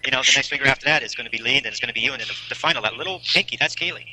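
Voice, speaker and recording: A middle-aged father in an earnest, halting family conversation, repeating himself and pleading on a noisy recorded jail call. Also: medium pitch, bright, forceful tone.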